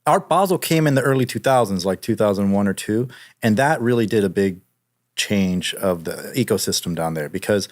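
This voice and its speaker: A man's voice: on the low side, expressive, normal speed, no echo, studio quality. A man from Miami, Florida, speaking as an interview guest, telling casual anecdotes in informal, slangy language. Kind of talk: casual conversational storytelling.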